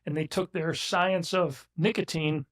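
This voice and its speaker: A man's voice: fairly high pitch, steady tone, unhurried, fairly bright tone. A man in his sixties, a business owner talking as a guest in a casual interview, blunt and emphatic, often restarting his sentences. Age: 60s.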